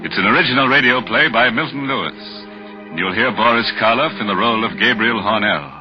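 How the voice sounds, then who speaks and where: An actor playing a gleefully morbid horror host on 1940s radio, theatrical and sardonic. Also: male voice, mid-range voice, fairly quick, lightly acted. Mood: mock ominous. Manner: sardonic, theatrical, savoring macabre jokes. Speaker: host and narrator. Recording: dull old radio recording, music behind.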